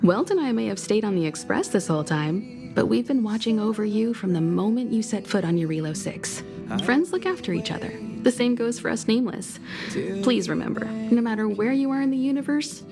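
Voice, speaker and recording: A woman voicing a calm, caring guide in a video game, speaking in a composed, articulate and reassuring way. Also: fairly high pitch, a little fast. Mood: warm, reassuring. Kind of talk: scripted video game dialogue. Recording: music behind the voice.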